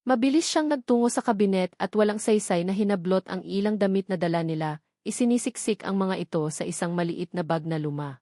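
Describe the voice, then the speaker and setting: A woman's voice: mid-range voice, brisk, mellow, no echo. Woman narrating a dramatic story, dry sound.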